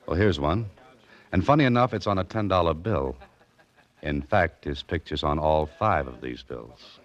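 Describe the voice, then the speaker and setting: Casting: low-voiced American radio detective hero, male, dry and wisecracking, muffled vintage sound. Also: moderate pace. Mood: dry, probing. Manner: dry, wisecracking, clipped tough-guy delivery. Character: wry, hard-boiled adventurer hero narrating his own mystery. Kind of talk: 1940s radio mystery acting and first-person narration. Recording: muffled old radio recording with music.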